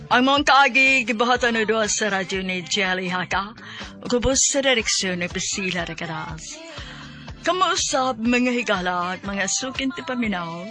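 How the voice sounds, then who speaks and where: A man's voice: high voice, bright, forceful tone. A man with a Cebuano accent announcing a scripted radio drama in an emphatic, declamatory way. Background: music.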